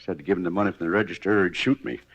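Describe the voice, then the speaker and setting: An actor playing a talkative, homespun cafe owner from Kansas who was shot, in a 1950s radio drama. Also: male voice, low-pitched, steady tone, very fast, a bit bright, husky. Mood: folksy. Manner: rambling, homespun, plain-spoken. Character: folksy, talkative wounded cafe owner, former pitcher. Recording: dull vintage 1950s radio broadcast.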